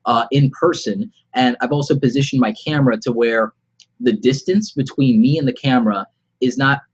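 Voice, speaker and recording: Brief: congested man with a cold, husky and nasal, chatty and encouraging, slightly muffled webcam live-stream sound. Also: medium pitch. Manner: conversational, upbeat advice-giving. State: slightly sore throat. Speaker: vlogger. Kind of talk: unscripted live-stream vlog. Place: home studio room, alone. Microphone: webcam about arm's length away.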